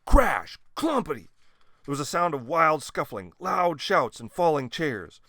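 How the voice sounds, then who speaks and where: Expressive man reading a children's story, very lively. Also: fairly high pitch, measured pace, no room sound, clean studio sound. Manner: expressive, dramatic emphasis. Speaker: storybook reader. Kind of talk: scripted children's story narration.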